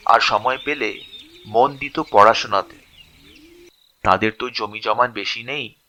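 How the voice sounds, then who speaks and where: A man narrating a scripted story expressively, in short phrases with dramatic pauses. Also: mid-range voice, pushed and bright.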